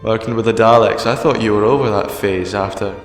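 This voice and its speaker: Man playing a low-voiced, sardonic, defiant hero. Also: a little fast, natural voice. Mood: dry defiance. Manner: dry, sarcastic, exasperated. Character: sardonic, defiant, ancient male time-traveller hero, captive. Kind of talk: fan audio drama acting. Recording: echoey long reverb, music behind.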